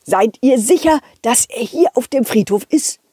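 A woman playing a brisk, commanding policeman in a children's audio story, repeating short phrases decisively. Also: on the high side, expressive, mellow, slightly rough, no echo, studio quality, voice pushed up. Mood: approving.

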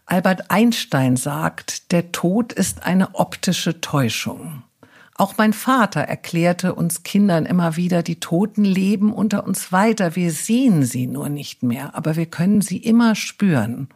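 Husky, lower-voiced woman hosting a podcast. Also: darkish tone. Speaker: podcast host. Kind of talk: introduction to a podcast interview, prepared narration.

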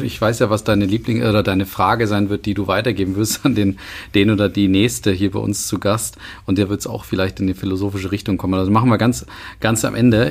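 A man's voice: fairly low pitch, vocal fry. A man hosting a podcast interview who asks long, rambling, friendly questions. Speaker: podcast host. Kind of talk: conversational interview.

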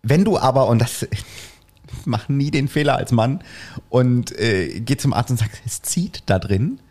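A man's voice: mid-range voice, unhurried. A man casually telling a long personal anecdote and acting out the voices of the people in it. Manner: animated anecdote-telling with acted-out quotes. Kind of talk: casual storytelling chat.

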